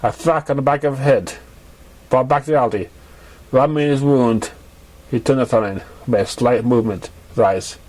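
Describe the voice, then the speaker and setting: A man narrating scripted fiction as an amateur reader, halting and sometimes stumbling over his words. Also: medium pitch, darkish tone.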